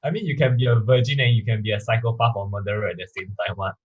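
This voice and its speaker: A man's voice: on the low side, racing, narrow, tinny audio, a little hiss or hum. A young Singaporean man in his twenties, talking bluntly and offhandedly as a guest on a casual panel, full of "you know" and "right". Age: 20s.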